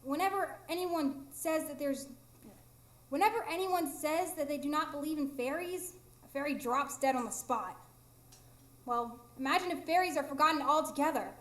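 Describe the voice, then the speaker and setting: A proud fairy with a very high voice, stuck living as a human. She is plaintive and emphatic, wounded at heart, acting in a live radio play in an echoing room. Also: normal speed, fairly bright tone. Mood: sad, proud. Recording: roomy live stage performance.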